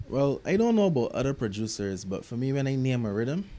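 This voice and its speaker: A man's voice: mid-range voice, brisk. A young Barbadian man, a music producer, talking casually and reflectively about his work in an interview. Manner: relaxed, reflective, drops into local grammar. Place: recording studio.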